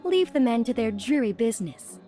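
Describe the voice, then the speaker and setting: A genteel high-society lady with a very high voice , played by a woman. She is polite and gossipy, in the manner of an audio drama banquet guest. Music sits behind her. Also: sing-song, fairly quick, warm tone. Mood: gossipy, inviting.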